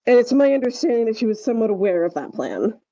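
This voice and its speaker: A woman with a soft-edged, mellow voice chats casually as a podcast co-host. She rambles and halts as she tells things. The sound is dry and slightly dull, with a lo-fi feel. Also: fairly high pitch, sing-song, no echo. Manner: rambling, halting storytelling. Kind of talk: casual chat.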